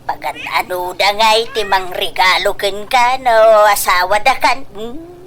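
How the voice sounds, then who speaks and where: A woman playing a scheming, greedy, pushy middle-aged mother in a comedy radio drama, gushing with drawn-out exclamations in an Ilocano accent. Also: medium pitch, a bit bright, natural voice. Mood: pushy, coaxing.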